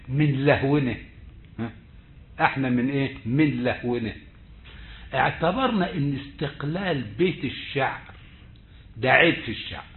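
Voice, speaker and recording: A male lecturer with an Egyptian accent giving a slow, deliberate online lecture, often stretching words and restarting his phrases. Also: medium pitch. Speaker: university lecturer. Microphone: computer mic on a video call. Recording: tinny phone-like call audio.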